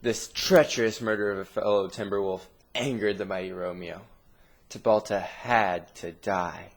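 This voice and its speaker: A man reading a scripted story narration with dramatic pauses, in a noisy amateur recording. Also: lowish voice, fairly even intonation.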